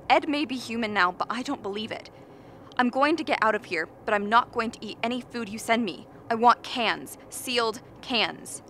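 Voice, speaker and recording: Radio drama: actress as a cornered, suspicious, defiant woman, bright and forceful, highish pitch, faint noise behind. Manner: agitated, suspicious, shouting outbursts. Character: paranoid, defiant expedition member barricaded in a room. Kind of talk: radio play acting.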